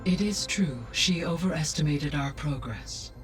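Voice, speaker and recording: An actress voicing a calm, wise female AI that speaks in a measured, formal way. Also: low voice. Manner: measured, formal, precise diction. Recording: distant voice over loud music.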